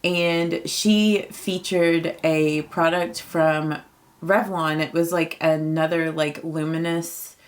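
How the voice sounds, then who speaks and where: A woman in her late thirties with a slightly low, faintly raspy morning voice walks through a makeup routine. She talks in a chatty, upbeat way with plenty of fillers. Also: steady tone, slow pace. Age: 30s. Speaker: beauty vlogger. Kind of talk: casual get-ready-with-me chat.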